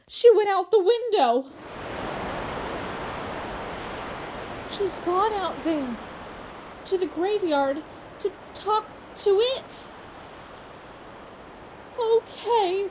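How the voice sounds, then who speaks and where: A woman's voice: extremely high pitch, darkish tone, exaggerated voice, poor audio. A woman playing a frightened, fragile young woman in a radio horror drama, anxious and devoted, pleading breathlessly and repeating herself. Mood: panicked.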